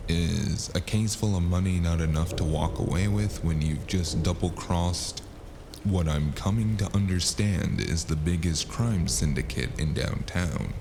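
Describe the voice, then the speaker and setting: Noir audio drama lead: world-weary male detective played by a man, very low and gravelly, dry wisecracks over a noisy ambient bed. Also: unhurried. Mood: probing, unimpressed. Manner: dry, sardonic, laconic.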